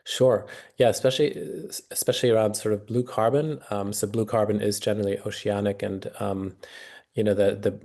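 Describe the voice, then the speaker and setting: Low gravelly man with a flat delivery. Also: moderate pace. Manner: hesitant, many fillers and restarts. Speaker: guest speaker. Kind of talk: webinar Q&A answers.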